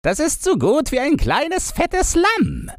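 A man's voice: very high voice, wildly swooping pitch, slow, dry recording, studio quality, voice pushed up, full character voice. A man voicing a cruel old robber woman with a gloating manner in a fairy tale audiobook. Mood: gloating, hungry. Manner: gloating, savoring. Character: gleefully cruel, bearded old robber hag.

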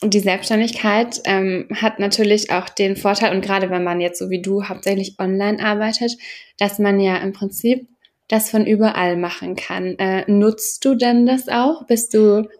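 A woman's voice: mid-range voice, steady tone, normal speed, darkish tone. A woman hosting an interview, asking questions in a friendly way with many filler sounds. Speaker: interviewer, podcast host. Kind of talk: conversational interview.

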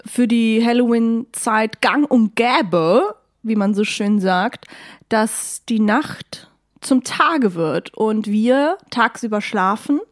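A woman with a fairly high voice chats casually as a podcast co-host. She is playful and giggly, and talks in loose slang. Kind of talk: casual comedic chat.

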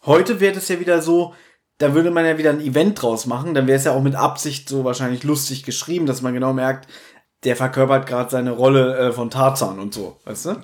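A man's voice: medium pitch, fairly quick, darkish tone. A man co-hosting a podcast, chatting casually and animatedly as he reviews audio dramas. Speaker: podcast co-host.

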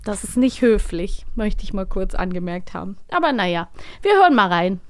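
A woman co-hosting a podcast, chatting casually and retelling things in an animated way. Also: mid-range voice, moderate pace, very noisy. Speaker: podcast co-host. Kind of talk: casual chat about children's audio plays.

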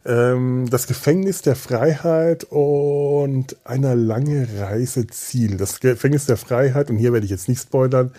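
A man talks casually to podcast listeners in a mellow, rather raspy voice at a middling pitch. He rambles, breaks off and repeats words as he searches for the next thought. His delivery carries a wry, self-mocking humor. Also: takes it slowly, hardly any pauses. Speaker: podcast host.

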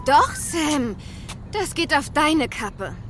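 A woman's voice: high voice, sing-song, slow, a bit bright, soft background music, heavily acted. An actress playing an honest, sensible girl who speaks frankly in a children's audio drama. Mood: insistent. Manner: earnest, frank.

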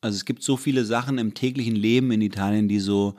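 A man's voice: on the low side, fairly even intonation, fast, darkish tone. A man from Germany in casual chat, telling stories with many restarts and fillers. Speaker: conversation partner.

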